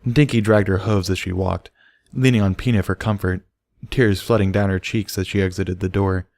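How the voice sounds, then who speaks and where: Lowish-voiced man narrating a story. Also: steady tone, moderate pace, darkish tone, no echo. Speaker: audiobook narrator.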